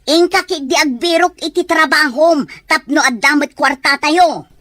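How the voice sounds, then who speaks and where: A woman's voice: high-pitched, moderate pace, a bit bright, no echo. A woman with an Ilocano accent playing a defensive, nagging mother in an audio drama. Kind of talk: Ilocano radio-style drama acting.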